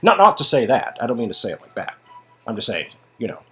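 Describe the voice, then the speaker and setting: Husky, dryly sarcastic man. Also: medium pitch, big pitch swings, fairly bright tone, faint music behind. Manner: dry, halting, sarcastic asides. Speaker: narrator and reader. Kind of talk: improvised comic reading of a story game.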